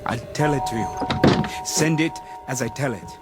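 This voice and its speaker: A man's voice: mid-range voice, animated, a little slow, close to an everyday voice. Man acting stern village police chief over music. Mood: grim, insistent. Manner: terse, commanding. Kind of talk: radio drama acting.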